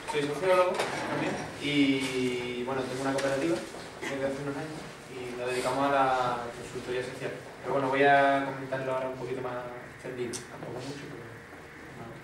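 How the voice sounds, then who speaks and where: A man's voice: mid-range voice, fairly even intonation, normal speed. A man who is a sociologist running a cooperative, introducing himself casually at a meetup. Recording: distant, very echoey, noisy.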